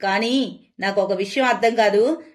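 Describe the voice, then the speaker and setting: A woman's voice: medium pitch, a little slow, lightly acted. An exasperated middle-aged mother from a cartoon story, played by a woman narrator. She scolds in a sarcastic, impatient manner. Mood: scolding.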